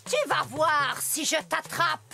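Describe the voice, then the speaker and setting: Casting: feisty cartoon village cook, scolding, very high-pitched with a creaky edge. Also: female voice, takes it slowly, over music, full character voice. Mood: indignant, scolding. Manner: scolding, exclaiming.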